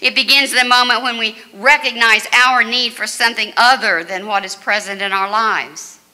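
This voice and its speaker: An older woman pastor delivering a measured, earnest sermon at a lectern mic in a church sanctuary. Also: fairly high pitch, fairly even intonation, bright, forceful tone. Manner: measured, earnest preaching with dramatic quoted voices. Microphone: stand mic at a lectern, far camera. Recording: reverberant church hall.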